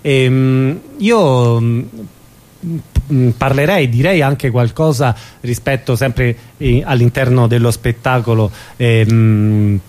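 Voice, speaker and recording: A man with a mid-range voice hosts a studio radio interview. He speaks haltingly, with many pauses as he looks for the right words. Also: mid-range voice, darkish tone. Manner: hesitant, searching for words. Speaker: radio host.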